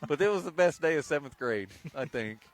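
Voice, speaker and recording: A man's voice: fairly high pitch, expressive, a little fast. A man giving casual, joking play-by-play of a football game in a folksy, bantering way. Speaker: play-by-play sports announcer. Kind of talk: casual, joking radio play-by-play commentary of high school football. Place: outdoor football field sideline.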